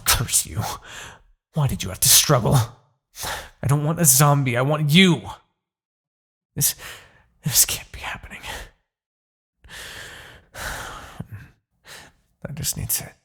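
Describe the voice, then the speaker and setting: A man playing an arrogant, possessive demon king in a husky, theatrical ASMR fantasy roleplay. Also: mid-range voice, very animated, a bit bright, heavily acted. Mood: panicked, angry, then shaken, pleading. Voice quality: husky, gravelly. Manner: theatrical, deliberate, swinging from murmurs to outbursts. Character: arrogant, possessive male demon king, obsessed with captive hero. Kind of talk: ASMR roleplay, dramatic monologue.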